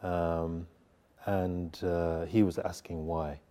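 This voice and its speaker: A man speaking as a panel guest in an informal religious discussion, reflective and halting as he thinks. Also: low-pitched, little pitch movement, dark tone.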